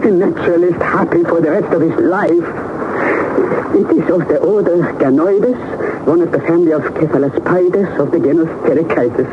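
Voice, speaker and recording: An actor playing an excitable, impatient older professor with a pedantic, emphatic manner in a 1960s radio drama. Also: male voice, on the high side, moderate pace, natural voice. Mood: elated. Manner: pedantic, emphatic, repeating himself. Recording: tinny, noisy old radio broadcast.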